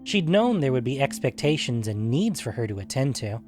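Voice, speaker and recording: A male narrator tells a fantasy tale in a measured, literary way. His pitch sits in an ordinary male range. Music runs underneath the narration. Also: big pitch swings, a little mellow. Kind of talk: scripted fantasy story narration.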